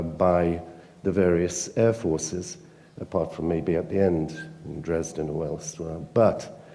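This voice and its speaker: A man with a British accent, speaking earnestly and emphatically at a conference panel, pausing often with fillers. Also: low-pitched, mellow, in a large hall. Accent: British English. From Britain. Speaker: panel speaker, newspaper editor. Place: conference hall.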